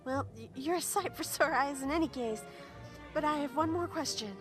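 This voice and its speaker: A woman's voice: high voice, normal speed, music in the background, lightly acted. A woman playing an earnest, easily flustered young female pony in a fan audio drama. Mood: relieved, bemused. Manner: earnest, expressive.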